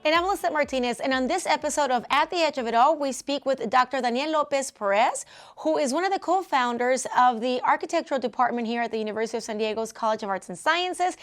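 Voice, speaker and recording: A woman's voice: high voice, fast talker. A woman co-hosting a podcast, asking friendly, curious interview questions. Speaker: podcast co-host. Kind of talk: casual interview conversation.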